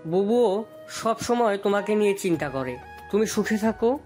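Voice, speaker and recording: A woman's voice: medium pitch, lively intonation, normal speed, mellow, close to an everyday voice. Supportive, affectionate family member played by a woman. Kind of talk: audio story acting. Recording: faint music behind.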